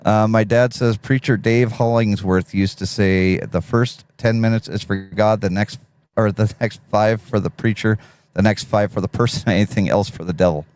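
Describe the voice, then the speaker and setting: A man with a deep radio voice hosting a casual live stream, reading chat messages aloud and chatting easily. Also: fairly even intonation, normal speed, darkish tone, clean tone. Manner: laid-back, reads chat messages aloud, laughs often.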